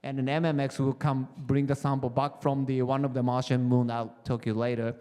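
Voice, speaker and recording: Halting Japanese-accented man in a hall. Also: mid-range voice, little pitch movement, a little mellow, clear, resonant tone. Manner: halting, many "uh" fillers, non-native phrasing. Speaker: planetary geologist presenting on a panel. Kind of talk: short conference presentation. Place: conference hall.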